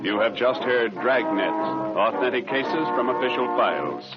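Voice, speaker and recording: Man, American accent, medium pitch, formal old-time radio announcing, dull broadcast recording. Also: expressive, measured pace, loud music behind the voice.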